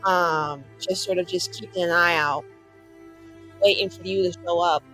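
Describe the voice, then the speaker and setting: A woman's voice: on the low side, steady tone, normal speed, fairly bright tone, faint music behind. Offhand, slangy woman playing a tabletop game. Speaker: tabletop roleplaying player. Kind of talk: casual in-character chat.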